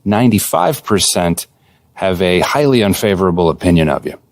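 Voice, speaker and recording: A man playing an earnest, data-minded basketball executive in a video game, speaking in a measured corporate way. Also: deep voice, expressive, dry recording. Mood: serious. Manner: measured, businesslike, corporate jargon. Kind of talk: conversational video game cutscene acting.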